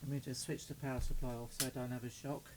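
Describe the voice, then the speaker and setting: Man, middle-aged, unhurried muttering hobbyist presenter, average pitch, slightly noisy home-made recording. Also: little pitch movement. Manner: muttering to himself, rambling. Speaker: hobbyist presenter unboxing a CB radio. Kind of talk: casual hands-on unboxing chat. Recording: clear background noise.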